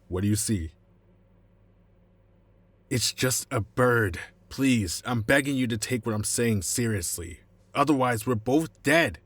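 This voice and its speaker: Nervy corporate informant, played by a man: deep-set, rough-edged voice, self-preserving, pleading, for a cyberpunk audio drama. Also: fairly bright tone, no echo. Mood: nervous, pleading. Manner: pleading, explanatory. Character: anxious, self-preserving male corporate lawyer turned informant. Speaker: voice actor. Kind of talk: cinematic cyberpunk audio roleplay acting.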